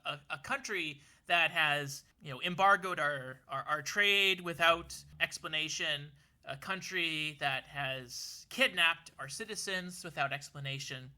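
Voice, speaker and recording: A young man holds forth in an unusually high, sharply bright voice. He talks earnestly, stumbling over repeated words as he builds his point, and his voice sounds a touch worn. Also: measured pace. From Canada. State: losing his voice. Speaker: podcast co-host. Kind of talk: casual political discussion.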